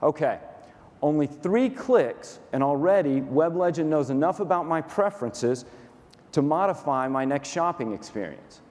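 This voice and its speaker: A man with a Texan accent, demonstrating software step by step in a dry, no-nonsense tone. His voice sits a bit high for a man, and it carries a long hall echo from a conference stage. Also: animated, moderate pace. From Texas, USA. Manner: dry, matter-of-fact, methodical walkthrough. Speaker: company COO demonstrating software. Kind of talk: staged product demo presentation.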